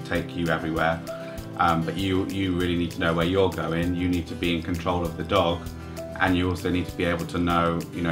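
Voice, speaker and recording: Low, flat-voiced man explaining. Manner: plain, explaining. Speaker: guide dog trainee. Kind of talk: interview talk. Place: indoor room by a window.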